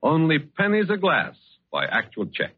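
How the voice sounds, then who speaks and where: An actor playing a sociable, folksy wine dealer in a 1940s radio advertisement. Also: male voice, on the high side, huge pitch swings, moderate pace, husky, exaggerated voice. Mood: folksy, satisfied. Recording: muffled old 1940s radio recording.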